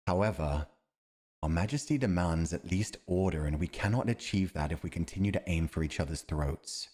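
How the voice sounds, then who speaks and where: A man's voice: low-pitched, normal speed, darkish tone. A man playing a loyal, honourable war commander advising royalty in a fantasy audio roleplay, speaking formally and with courtly restraint. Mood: cool, candid.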